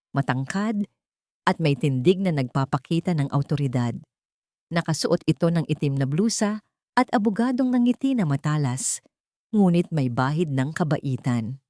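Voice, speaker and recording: A woman's voice: sits low, dark tone, no echo, clean studio sound. A woman with a Filipino accent narrating a scripted dramatic story as an audiobook.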